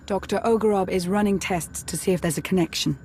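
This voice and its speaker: A woman playing a composed, earnest resistance leader in a video game, measured and formal. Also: mid-range voice, darkish tone, a little hiss or hum, natural voice. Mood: sober.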